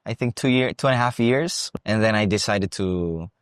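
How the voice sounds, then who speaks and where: A young man with a Costa Rican accent answers interview questions in a relaxed, considered way, often restarting his phrases. His voice sits on the low side. The sound is dry and close, with little room echo. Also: moderate pace, clear, resonant tone. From Costa Rica. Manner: relaxed, thoughtful, with frequent restarts. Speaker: guest, salsa dancer and teacher. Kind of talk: casual interview. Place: salsa congress venue.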